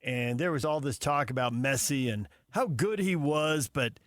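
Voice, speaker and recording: A man's voice: medium pitch, normal speed, a bit bright, dry recording, studio quality. A man hosting a sports radio show, giving unscripted commentary on games.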